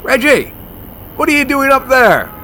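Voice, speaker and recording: An actor playing a brash, stubborn, wisecracking monster hunter in a comedy horror audio drama. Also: male voice, very high-pitched, big pitch swings, moderate pace, sharp tone, slight background noise, heavily acted. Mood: shouting, alarmed. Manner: brash, argumentative, sarcastic.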